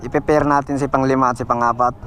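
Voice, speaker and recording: A man's voice: medium pitch, flat delivery, fast talker, a bit bright, a little hiss or hum. A Filipino man hosting a casual vlog, chatting and giving simple how-to instructions. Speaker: vlog host. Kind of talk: casual vlog chat and tutorial.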